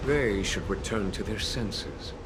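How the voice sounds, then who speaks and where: A man's voice: on the low side, expressive, slow pace, background noise. A man voicing a composed, authoritative general in a video game, with a dry delivery. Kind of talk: game voice acting. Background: game music.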